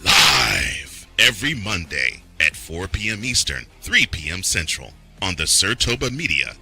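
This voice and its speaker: A man delivers a sports show promo in a low, husky voice. His announcing swings dramatically up and down, and it sits over a music bed. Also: sing-song, measured pace, sharp tone. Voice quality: gravelly, rough.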